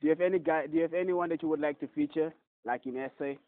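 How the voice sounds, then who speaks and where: Brief: young male host, slightly high voice, tinny phone-like line. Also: racing, no room sound. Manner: loose, hyped, repeats "yes, sir" and "my guy". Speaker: podcast host. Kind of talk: casual interview.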